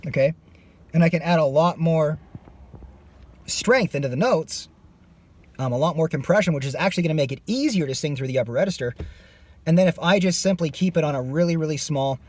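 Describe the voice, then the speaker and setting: A man's voice: fairly high pitch, brisk, long pauses, a little mellow. A man teaching a singing lesson. He is losing his voice and stops his explanation to demonstrate screams. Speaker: vocal coach.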